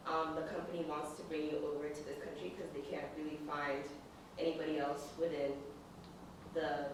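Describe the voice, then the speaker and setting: A young woman from the Dominican Republic sharing her story in a casual talk, relaxed and full of fillers. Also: sits low, noisy background. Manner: relaxed, with many fillers and small laughs. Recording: echoey room, from far off.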